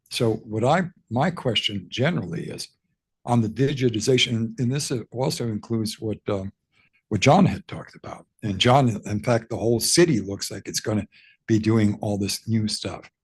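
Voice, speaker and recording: Questioner, male, low husky voice, winding speech with many restarts. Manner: rambling, self-correcting. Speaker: audience questioner. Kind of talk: asking a question at a roundtable. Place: press club conference room.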